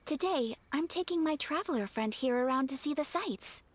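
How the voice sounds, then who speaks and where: A woman voicing a gentle, polite and shy young noblewoman in a video game, speaking softly and courteously. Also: high-pitched, lively intonation, moderate pace, no room sound. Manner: soft, courteous, flustered at times.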